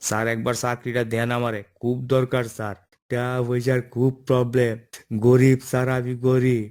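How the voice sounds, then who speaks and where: A man's voice: mid-range voice, monotone, normal speed, darkish tone, close to an everyday voice. A man doing an eager, pleading cartoon job seeker. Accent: eastern Bengali dialect. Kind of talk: comic cartoon dialogue.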